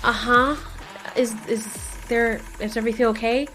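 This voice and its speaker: A woman's voice: a little high, fairly even intonation, moderate pace, fairly bright tone, soft background music, close to an everyday voice. A woman voicing an impatient female giant moth monster who speaks politely but curtly in an audio drama. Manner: hesitant politeness turning curt.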